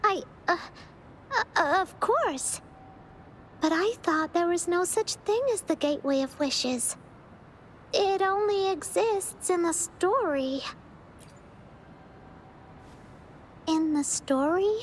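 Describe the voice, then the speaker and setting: Timid, very high-voiced young girl. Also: lively intonation, takes it slowly, slight background noise, heavily acted. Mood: hesitant. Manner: hesitant, soft-hearted. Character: timid, wistful young girl longing for her mother. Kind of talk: video game voice acting.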